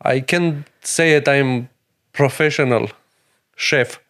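A middle-aged man from Romania with a Romanian accent, a chef and restaurant owner speaking earnest, halting English in a podcast interview. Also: medium pitch, fairly bright tone. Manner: broken English, earnest and emphatic, many pauses.

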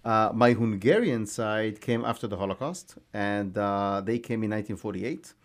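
A man from Israel, a guest in a studio, telling his family history in a measured way with frequent pauses and restarts. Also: fairly low pitch. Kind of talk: conversational interview answers.

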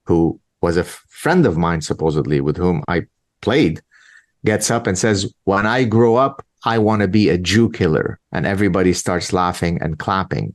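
A lowish-voiced man from Lebanon whose first language is Arabic, in his fifties. He lectures emphatically in an interview, telling personal stories and pressing his points with rhetorical questions. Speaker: guest commentator. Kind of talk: interview answer, storytelling.

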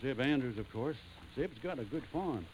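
An actor in an old radio drama playing a humble young backwoods farmer turned soldier, with a halting, folksy rural Tennessee drawl. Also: male voice, mid-range voice, expressive, a little mellow, slight background noise, natural voice, low-quality recording. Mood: bashful, hesitant. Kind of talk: 1940s radio drama acting.

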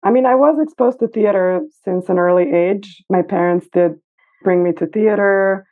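A woman's voice: medium pitch, moderate pace. A middle-aged woman from Serbia with a Serbian accent, speaking conversationally as an interview guest. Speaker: podcast guest, costume designer and educator. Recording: narrow, phone-like internet call sound.

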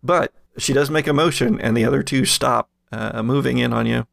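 A man running a tabletop game, improvising narration with halting, drawn-out hesitations. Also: medium pitch, creaky, a bit bright, dry recording, studio quality. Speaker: game master.

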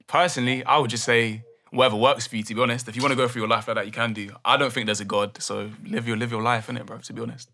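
A young man, hosting a casual podcast. He talks quickly in a bright, forceful voice and presses his guests with questions. He stumbles over repeated words as he works out what to ask. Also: medium pitch, steady tone. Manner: probing questioner, repeats words while thinking. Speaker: podcast host.

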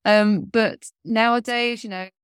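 A woman's voice: a little high, fairly even intonation, measured pace, smooth, dry recording, clean studio sound. A woman in her forties, a coach speaking as an interview guest, talking earnestly with a blocked-up nose and many fillers. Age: 40s. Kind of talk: casual interview chat.

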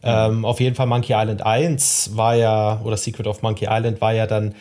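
A man's voice: fairly low pitch, little pitch movement, brisk, a little mellow. A man appearing as a podcast guest, talking in a dry, thoughtful way with some hesitation. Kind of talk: casual podcast talk.

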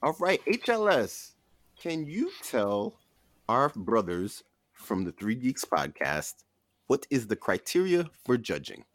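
A man's voice: mid-range voice, wildly swooping pitch, takes it slowly, no echo. A man co-hosting a podcast, moderating casual chat in a teasing manner. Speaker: podcast co-host.

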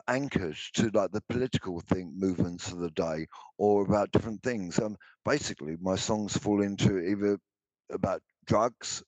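Deep-voiced older man, halting. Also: darkish tone, dry recording, rough recording. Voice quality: deep baritone voice. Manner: hesitant, many restarts and ums. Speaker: musician guest. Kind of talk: interview answers.

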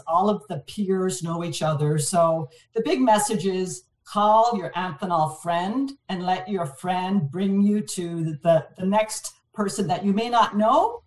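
A woman's voice: lowish voice, recorded from a distance. Echoey woman from Montreal. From Montreal, Canada. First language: French. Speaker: podcast guest, distribution manager. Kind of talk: casual interview chat. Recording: echoey, set back from the mic.

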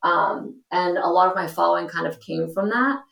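A woman's voice: on the low side, normal speed, vocal fry, fairly bright tone, highs cut off. A young adult woman from Portugal, a podcast guest talking casually and filling her speech with ums and you-knows. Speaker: guest, influencer. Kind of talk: casual interview talk. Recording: echoey room.

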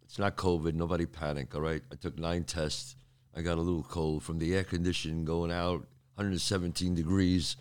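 A man's voice: low-pitched, flat delivery, normal speed, smooth. An older man hosting his show, talking casually and streetwise with a cold in his throat. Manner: streetwise, chatty, repeats himself. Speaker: podcast host. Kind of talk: casual monologue to listeners.

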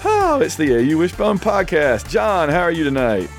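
A man's voice: fairly high pitch, wildly swooping pitch, normal speed, faint music behind. A man hosting a sports podcast, his voice scratchy from losing it, rambling casually. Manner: rambling, self-deprecating. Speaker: podcast host.

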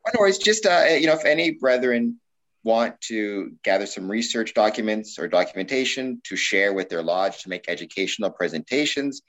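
A man's voice: lowish voice, expressive, brisk, fairly bright tone, poor audio. A man hosting a conversational interview, phrasing his questions in a rambling, hesitant way. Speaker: podcast host interviewing guests.

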